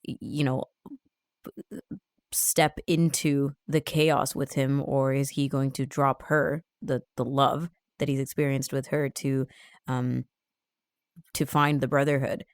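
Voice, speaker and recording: A man interviewing in a conversational way, measured and often pausing mid-sentence as he discusses a story. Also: mid-range voice, flat delivery, clear, resonant tone, no room sound, clean studio sound. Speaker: interviewer and reviewer.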